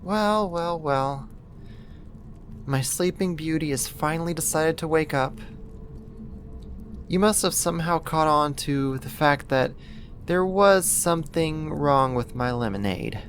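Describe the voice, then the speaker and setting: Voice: medium pitch, slight background noise, lightly acted. A performer playing a possessive yandere neighbor who kidnaps, sweet yet menacing, in an intimate, coaxing ASMR roleplay monologue. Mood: gloating. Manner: coaxing, slow, deliberate, condescending endearments.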